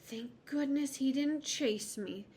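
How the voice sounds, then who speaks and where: A woman voicing a small, timid girl who speaks gently and a little higher than the narration. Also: takes it slowly, slight background noise. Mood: nervous.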